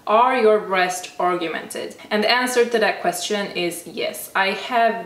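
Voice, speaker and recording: A woman's voice: mid-range voice, measured pace, fairly bright tone. Swedish-accented young woman, echoey distant mic. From Sweden. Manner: chatty, frequent "like" fillers, self-restarts. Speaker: fitness vlogger. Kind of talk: casual Q&A talk.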